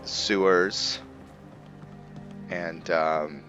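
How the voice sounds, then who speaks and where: Low-voiced man, unhurried gaming commentary. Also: fairly even intonation, a bit bright, muffled recording, faint music behind. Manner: informal, thinking aloud to viewers. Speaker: gaming video host. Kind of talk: casual gameplay roleplay commentary.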